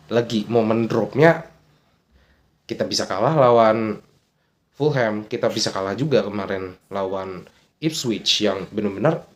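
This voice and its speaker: A young man with a stuffy nose from a cold, chatting casually about football as a vlogger, mixing in English words. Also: lowish voice. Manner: casual slangy talk mixed with English words, thinking aloud. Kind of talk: casual solo commentary.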